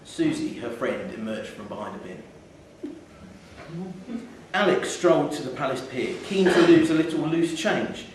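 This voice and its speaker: Male narrator, wry and measured, reading live fiction, heavily echoing room, mic at a distance. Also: medium pitch, animated. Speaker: short story reader. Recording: echoey theatre hall, distant mic, faint noise.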